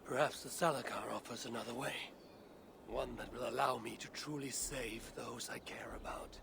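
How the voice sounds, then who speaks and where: An actor playing a weathered, wary Norse god-warrior, gravelly, grave and measured, in a dramatic video game cutscene. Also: male voice, mid-range voice, steady tone, noisy background, lightly acted. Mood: brooding.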